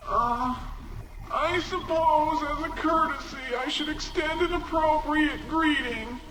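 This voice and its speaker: Mopey little alien diplomat, super high voice, drags it out, echoey w music. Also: male voice, slow, very noisy. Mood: mournful, weary. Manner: mournful, grudgingly formal. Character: gloomy, reluctantly courteous small alien envoy. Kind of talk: video game character acting.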